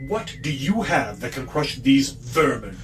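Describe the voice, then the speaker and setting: A man's voice: medium pitch, very animated, a little slow. A man acting an embittered alien villain leader in an audio drama, intense and commanding. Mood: bitter, vengeful.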